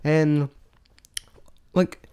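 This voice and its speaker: A man's voice: on the high side, little pitch movement, very slow, mellow, clear, resonant tone. A man giving a casual, unscripted spoken review, rambling and thinking aloud in a self-deprecating way. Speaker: amateur vlog reviewer.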